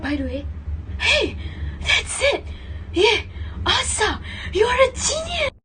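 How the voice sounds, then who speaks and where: A woman plays an irritable, bossy student girl in an amateur stage reading. Her voice is high and swings widely in pitch as she snaps impatiently. She takes her lines slowly, with faint noise behind. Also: very animated, exaggerated voice. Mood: exasperated, excited. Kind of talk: amateur reader's theater acting.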